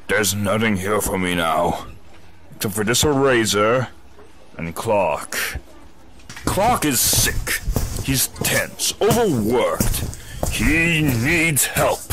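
A man's voice: medium pitch, sharp tone, slight background noise. A man voicing a big, cheerful, oblivious superhero in a comic-book dub, with a grandiose, dramatic delivery. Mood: solemn, brooding. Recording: echoey with long reverb.

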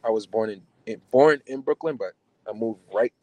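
A man's voice: lowish voice, moderate pace, a little mellow, rough recording. A young man in his twenties from New Jersey, a podcast guest chatting casually over a video call from his car, with slangy talk and lots of fillers. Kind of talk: casual chat. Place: car interior. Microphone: phone camera at arm's length on video call.

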